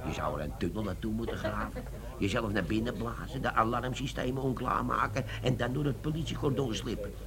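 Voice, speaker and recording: An actor playing a gruff, bossy army bomb-disposal sergeant in a Dutch radio play. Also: male voice, medium pitch, fairly quick, crowd noise, close to an everyday voice. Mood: sceptical.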